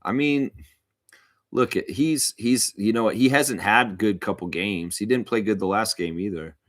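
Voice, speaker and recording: A man's voice: lowish voice, measured pace, no room sound, studio quality. A man hosting a livestream and calling live basketball play-by-play, mixed with casual, opinionated fan chat to viewers. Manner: informal, opinionated fan talk. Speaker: host and amateur play-by-play commentator of a livestream watchalong.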